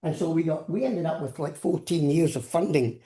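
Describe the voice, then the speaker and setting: A man's voice: fairly high pitch, normal speed, warm tone. An older man with a Scottish accent gives an informal academic lecture, rambling into anecdotes and repeating words as he goes. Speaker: professor giving a science lecture. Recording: roomy, echoing lecture sound.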